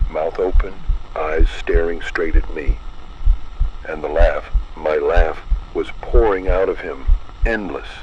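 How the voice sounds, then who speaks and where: A man narrating a scripted horror story in a low, measured, ominous way. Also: highs cut off, slight background noise.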